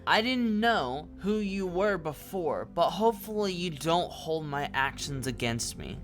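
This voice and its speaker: An actor performs a commanding officer of the royal guard in an audio drama. The delivery is formal and unhurried, at a medium pitch with soft music behind. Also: lively intonation, close to an everyday voice. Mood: conciliatory. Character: stern, commanding royal guard turned loyal ally.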